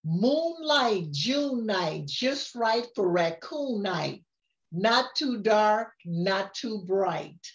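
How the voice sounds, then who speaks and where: An older woman reading a rhyming picture book aloud in a gentle, unhurried way, often chuckling. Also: medium pitch, expressive, muffled recording.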